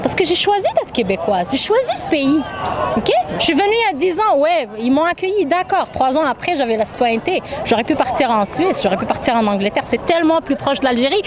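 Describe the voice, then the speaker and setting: Middle-aged woman, high voice, emphatic and rhetorical, interviewed amid busy crowd and street noise. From Algeria. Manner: emphatic, repetitive lists, rhetorical questions. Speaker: demonstrator interviewed at a march. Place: outdoors in a city street at a demonstration. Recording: noisy outdoor street crowd and traffic.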